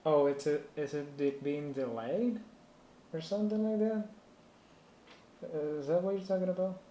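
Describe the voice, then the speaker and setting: A man's voice: a little high, normal speed, dark tone. A man from Puerto Rico whose native language is Spanish, chatting casually as a live stream host and thinking aloud in a rambling way. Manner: rambling, thinking aloud while reading chat. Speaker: live stream host giving PC troubleshooting advice. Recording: echoey room with faint noise.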